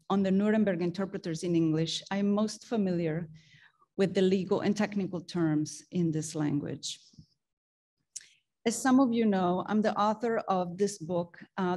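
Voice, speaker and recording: A woman's voice: fairly low pitch, darkish tone. A woman from Italy, giving an earnest academic presentation as an author in a university lecture hall, with occasional ums. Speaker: guest speaker and author.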